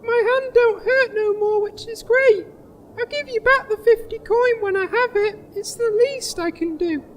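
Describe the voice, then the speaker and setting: A man's voice: very high voice, measured pace. A man voicing a polite, naive, childlike young male creature in a comic fantasy audio drama. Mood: grateful. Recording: loud outdoor ambience and noise behind.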